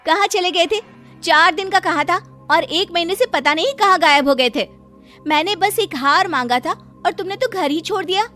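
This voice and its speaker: A woman's voice: very high voice, moderate pace, pushed and bright, faint music behind, voice pushed up. A woman voicing a demanding, spoiled young sister who whines and nags in a cartoon story. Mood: reproachful. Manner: petulant, nagging.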